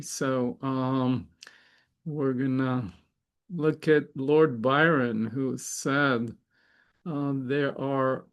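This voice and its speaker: A middle-aged man from Israel, a Hebrew speaker, hosting a spiritual gathering in a thoughtful, halting way with many fillers and restarts. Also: mid-range voice. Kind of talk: reflective spiritual talk.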